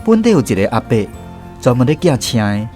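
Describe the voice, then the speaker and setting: A man plays a reflective narrator looking back in a radio drama. His mid-pitched voice is lively in melody and has a Taiwanese accent. He explains things conversationally, with music clearly behind. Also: a little fast, close to an everyday voice. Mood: reminiscing. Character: reflective male storyteller recalling his youth. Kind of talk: scripted first-person radio drama narration.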